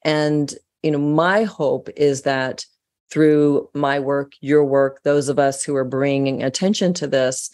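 A woman from the United States, a guest in an interview, telling stories in an earnest, animated way. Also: low-pitched, slow pace. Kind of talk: casual interview talk.

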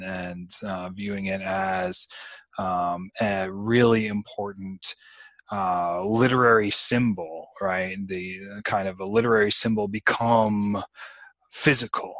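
A man with a fairly low voice hosts a casual literary discussion. He feels his way through ideas haltingly and often trails off. The sound is dry and close. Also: a bit bright, no echo. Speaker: host of a book discussion.

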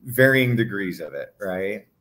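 A man's voice: on the low side, lively intonation, a little slow, fairly bright tone. A middle-aged man from Hawaii, a guest in an interview, talking casually and rambling, with many fillers and restarts.

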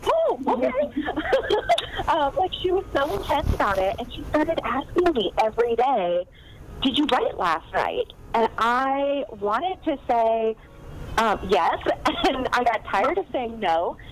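A woman's voice: high voice, big pitch swings, slow pace, slight background noise, poor audio. A woman novelist chatting casually in an interview, rambling through stories with lots of fillers and asides. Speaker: novelist guest.

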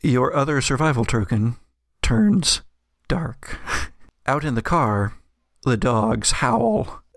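A man narrating a tabletop horror game as game master, deliberate, with dramatic pauses. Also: mid-range voice, sing-song, vocal fry, dry recording, studio quality.

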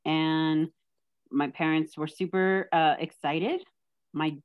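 A woman's voice: low voice, fairly even intonation, slow pace, clean tone, narrow, tinny audio. A woman from Texas chatting as a podcast guest, joking and poking fun at herself. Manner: joking, self-deprecating storyteller. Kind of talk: casual chat.

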